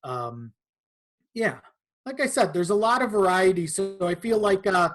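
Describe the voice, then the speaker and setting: A man's voice: high-pitched, expressive, a little slow. Casual man talking about his creative work. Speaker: podcast guest, horror audio drama creator.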